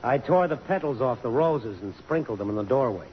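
An actor playing a wry, persistent photographer and jilted suitor, with an American accent, in mid-century radio drama style. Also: male voice, mid-range voice, expressive, a little fast, natural voice. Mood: wry, wistful. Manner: wry, sardonic. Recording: dull, noisy old radio broadcast recording.

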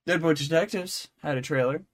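A man's voice: on the high side, a little fast, a little hiss or hum. A man co-hosting a podcast, chatting casually about entertainment news in an irreverent, joking, sometimes profane way. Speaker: podcast co-host.

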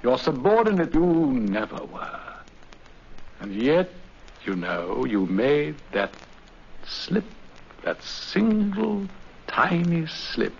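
Lively, urbane English master detective. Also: male voice, fairly high pitch, slow, pauses often, exaggerated voice. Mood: steely resolve. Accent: English, Received Pronunciation. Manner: crisp, urbane, dryly ironic. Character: coolly confident, urbane male master detective. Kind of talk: 1950s radio drama acting. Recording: muffled, faintly hissy 1950s radio recording.

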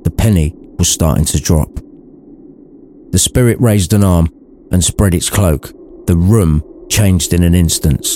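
A man's voice: sits low, warm tone, slight background noise. A man narrating a story in an irreverent comic style, with wry, sweary asides. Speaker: narrator, podcast reader.

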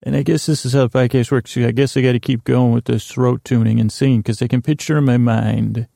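A man's voice: mid-range voice, fairly even intonation, fairly quick, creaky, warm tone, dry recording, clean studio sound. A man hosting a bedtime podcast, meandering whimsically through a soothing monologue meant to lull listeners to sleep. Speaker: podcast host.

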